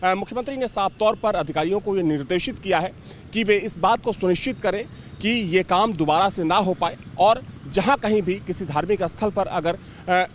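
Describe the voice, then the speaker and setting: A man giving an unscripted news report from the field, explaining somewhat haltingly. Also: high-pitched. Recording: faint outdoor traffic noise.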